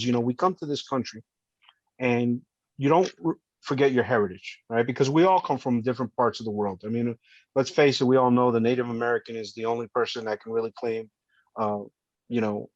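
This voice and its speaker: A man in his fifties speaks as a podcast guest, earnest and heartfelt as he recounts his life. His voice sits at a middling pitch, and the recording is dull and lo-fi with a dry, close sound. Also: brisk, no room sound. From Colombia, raised in New Jersey. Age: 50s. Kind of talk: casual interview storytelling.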